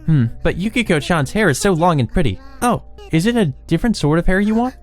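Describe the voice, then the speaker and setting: A woman's voice: on the low side, animated, fast, pitched above the performer's own voice, natural voice. A woman voicing a bold, playful, nosy schoolgirl in a visual novel. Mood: teasing.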